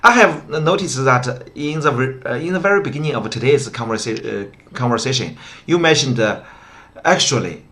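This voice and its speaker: A man's voice: medium pitch, expressive, moderate pace, bright, forceful tone. Chinese guy on a video call, mandarin accent, voice goes up and down a lot, lots of uh's. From China. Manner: agreeable, many hesitant uh fillers. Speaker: interpreter and host. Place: office with leather chair.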